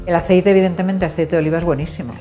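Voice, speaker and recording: Young woman host, asks lots of quick questions, kinda low voice, music playing. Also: steady tone. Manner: friendly questions, quick agreeing replies. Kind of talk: casual chat on a cooking show. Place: home kitchen, indoors. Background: background music.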